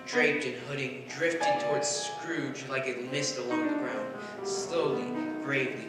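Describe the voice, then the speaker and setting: A man announcing and narrating in a 1940s-style radio drama, with grave, measured storytelling. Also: mid-range voice. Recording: echoey stage from far off with music.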